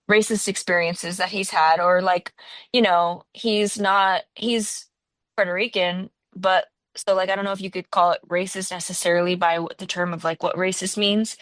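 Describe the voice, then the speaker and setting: A woman from Northwest Florida hosting a podcast, telling stories in a casual, animated way and filling her talk with "like". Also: mid-range voice, no room sound. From Northwest Florida, USA. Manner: animated storyteller, heavy use of "like", acts out quotes. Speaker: podcast host. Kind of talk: casual conversational interview.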